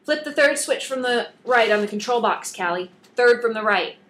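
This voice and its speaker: A woman reading the part of a capable, impatient female ship mechanic in an audiobook, giving brisk instructions. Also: a little high, expressive, a bit bright, pitched above the performer's own voice.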